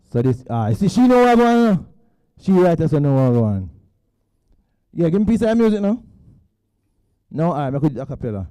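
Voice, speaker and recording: Guy hyping a crowd onstage, jumpy lively voice, joking around in slang. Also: fairly high pitch, no room sound. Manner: playful, teasing, with local slang. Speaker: event host. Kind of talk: lively crowd hosting on stage. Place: stage at a student orientation event.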